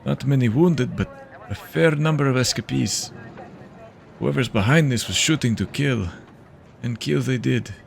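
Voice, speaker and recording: An actor playing a weary, grim veteran detective in an audio drama, speaking gravely and haltingly. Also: male voice, mid-range voice, slight background noise. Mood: grim, shaken.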